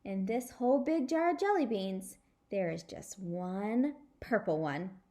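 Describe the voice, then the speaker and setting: A woman voicing a genial father in a children's storybook, patient and measured as he explains a party game. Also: medium pitch, expressive, mellow, lightly acted. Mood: explanatory.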